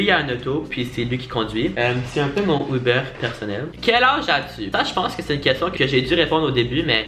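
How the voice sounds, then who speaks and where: Bright-voiced young Québécois man. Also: mid-range voice, echoey room. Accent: Québécois French. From Quebec, Canada. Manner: chatty, self-mocking, laughs often. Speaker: YouTuber. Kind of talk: casual vlog chat.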